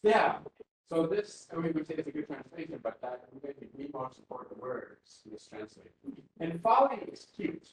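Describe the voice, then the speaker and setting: A man's voice: on the high side, big pitch swings, normal speed. Man, academic speaker, hesitant restarts, roomy echo, faint room noise. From Finland. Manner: hesitant, many fillers and restarts. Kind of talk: conference talk. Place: university seminar room. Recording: roomy hall with faint ambience.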